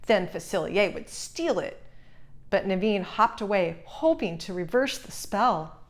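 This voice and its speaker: A woman reads a children's storybook aloud. Her pitch sits in the middle for a woman, and she delivers scripted narration. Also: expressive, a little slow. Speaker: storybook reader.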